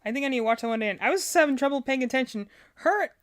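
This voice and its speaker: A woman with a slightly high voice talks casually as a streamer reacting to game videos. Her commentary is unscripted and rambling, as she thinks out loud through each opinion. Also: lively intonation, very fast.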